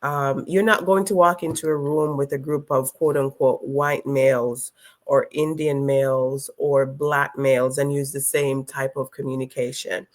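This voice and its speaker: A woman's voice: extremely low pitch, flat delivery. Caribbean woman author guest, thoughtful, filler-heavy. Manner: thoughtful, measured, with many fillers. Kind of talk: conversational interview.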